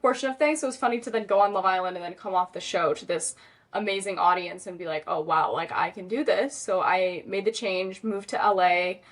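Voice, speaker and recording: A young woman is a guest on a podcast interview and tells a personal story in a loose, chatty way. She rambles a little and fills her sentences with "like" and "um". Her voice sits at an ordinary middle pitch. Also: brisk. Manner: chatty, rambling, lots of "like" and "um" fillers.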